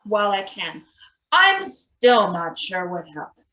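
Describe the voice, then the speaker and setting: A woman's voice: mid-range voice, big pitch swings, takes it slowly, sharp tone, exaggerated voice. A woman playing an earnest, hesitant maintenance worker in a table read of a satirical play. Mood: hesitant, conciliatory.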